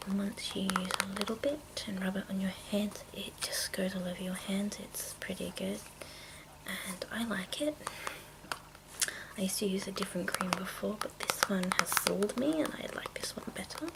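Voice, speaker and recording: A woman whispering in a breathy, hushed, unhurried way with halting pauses, presenting an ASMR show-and-tell. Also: mid-range voice, very noisy. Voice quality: breathy whisper with creaky edges. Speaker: ASMR video host.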